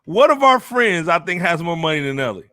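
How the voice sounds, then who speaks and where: Male co-host, bright forceful voice, lively pitch swings, slangy repetitive banter. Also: a little fast, no room sound, clean studio sound. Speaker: podcast host. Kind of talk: casual group banter.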